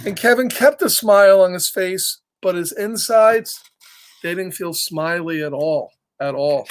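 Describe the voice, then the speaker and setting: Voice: mid-range voice, a little slow. A children's storyteller reading a picture book casually, in simple repeated phrases, checking in with listeners.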